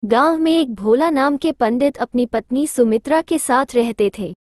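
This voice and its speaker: A woman's voice: sits high, normal speed, a little mellow, no echo, clean studio sound. A woman narrating a scripted children's moral story.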